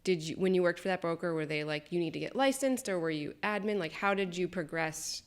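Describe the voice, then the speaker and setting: A woman's voice: on the low side, a little fast. A woman hosting a podcast who asks chatty questions full of "like". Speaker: podcast host. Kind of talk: casual interview.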